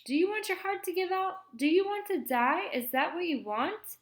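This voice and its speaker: A woman voicing an exasperated, hot-tempered aunt who scolds and shouts at children in an audiobook story. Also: very high-pitched, animated, moderate pace, voice pushed up.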